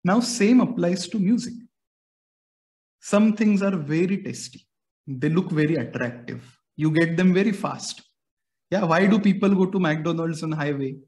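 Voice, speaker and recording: A young man from India talks through his ideas in Indian-accented English, his first language being Marathi. His voice sits a bit higher than most men's. He explains patiently, like a teacher walking a student through everyday comparisons. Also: expressive, measured pace, a little mellow. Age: young adult. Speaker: music educator. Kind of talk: explanatory interview answers.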